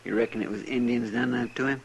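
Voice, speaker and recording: Man playing a creaky-voiced folksy Western deputy. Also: medium pitch, fairly even intonation, fast, lightly acted. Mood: hushed, sorrowful. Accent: American rural Western drawl. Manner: plain-spoken, folksy, deferential. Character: folksy, deferential deputy, simple and eager. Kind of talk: old-time radio western acting. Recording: noisy vintage radio drama with effects.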